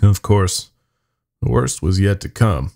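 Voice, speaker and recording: A man tells a horror story in the first person, his voice very low and full of gravel. He sounds weary and folksy, and his delivery rises and falls dramatically. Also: animated, slow, warm tone.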